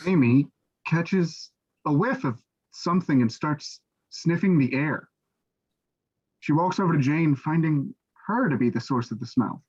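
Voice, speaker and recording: A man's voice: fairly high pitch, lively intonation, measured pace, a little mellow, breathy edge. A man reading scripted stage directions as the narrator of a staged play reading, on a lo-fi recording.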